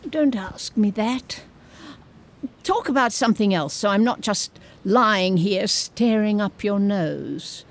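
A woman's voice: medium pitch, slow pace. A woman playing a cantankerous, bawdy, nosy old woman in a comedic audio drama, blunt, wry and grumbling. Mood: cranky.

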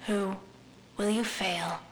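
A woman playing a sinister, taunting apparition in an audio drama, accusing and measured. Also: mid-range voice, fairly even intonation, heavy background noise, lightly acted. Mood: ominous, accusing.

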